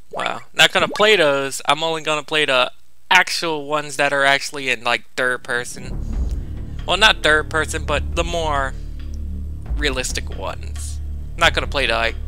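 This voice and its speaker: A man's voice: mid-range voice, sharp tone. A young man giving casual gameplay commentary, laid-back and thinking aloud as he goes. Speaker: gamer recording a walkthrough.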